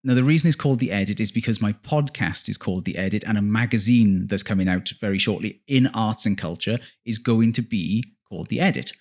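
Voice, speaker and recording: A man's voice: lowish voice, brisk, hardly any pauses, darkish tone. Earnest entrepreneur man, enthusiastic guest. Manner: earnest, enthusiastic, with um fillers and self-corrections. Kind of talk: casual interview chat.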